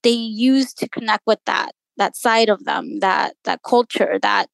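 A woman's voice: medium pitch, fairly even intonation, unhurried, gravelly, dry recording. A woman journalist whose first language is Spanish, speaking earnestly in a radio interview and thinking aloud with many restarts. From United States. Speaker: journalist guest.

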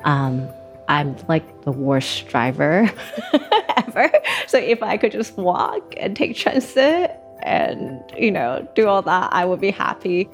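A woman urban planner from Taiwan, speaking casually and thoughtfully in an interview, with some halting restarts. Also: fairly low pitch, very animated, soft background music.

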